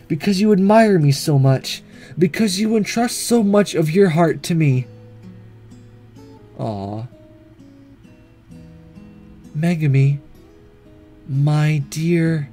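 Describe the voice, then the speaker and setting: A man voicing a gentle, devoted ghost schoolgirl in a soft, wistful visual novel monologue. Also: on the high side. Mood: longing, regretful. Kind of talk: visual novel inner monologue read aloud.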